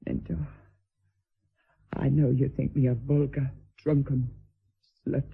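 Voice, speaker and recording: A woman's voice: extremely low pitch, flat delivery, slow pace, creaky, husky, exaggerated voice. An actress playing a middle-aged, vulgar, hard-drinking lady mistress in a radio drama, declamatory, vain and fearful, lurching between coarse bluntness and maudlin grandeur. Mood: bitter, brazen. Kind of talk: theatrical radio drama acting. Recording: thin, phone-like band-limited radio drama audio.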